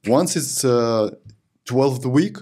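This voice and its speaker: A man in his thirties with a Lithuanian accent hosting a studio podcast, talking in a halting way and searching for words, close to a desk mic. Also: mid-range voice, animated, warm tone, a little hiss or hum. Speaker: podcast host. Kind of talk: podcast interview. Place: studio with green curtains. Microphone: desk podcast mic on boom arm.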